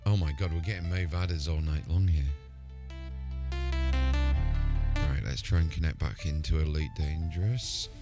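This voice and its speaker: A man's voice: rumbling low, steady tone, a little slow, long pauses, music in the background. A man chatting casually on a game stream in an informal, matey, sweary way while talking through technical fixes. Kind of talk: casual live stream chat, troubleshooting software.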